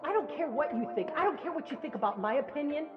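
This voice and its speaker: A woman's voice: high-pitched, animated, fast. A woman as an indignant, argumentative religious mother, speaking insistently in a tinny clip. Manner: combative, insistent, emphatic. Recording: tinny phone-like clip under loud music.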